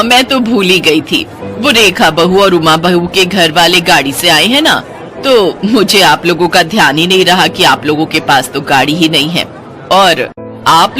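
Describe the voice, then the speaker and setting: A woman's voice: fairly low pitch, pushed and bright. Snobbish older mother-in-law played by a woman for a story cartoon, needling and patronizing, music underneath. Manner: pointed, patronizing. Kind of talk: Hindi moral-story cartoon dialogue.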